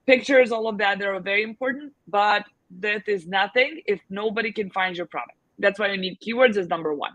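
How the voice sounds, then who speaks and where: A woman's voice: mid-range voice, a little fast, hardly any pauses, a bit bright, no echo, highs cut off. A woman answering questions as a guest expert on a video call, chatty with many fillers and restarts. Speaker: guest expert on selling abroad. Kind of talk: casual advice in a video call Q&A.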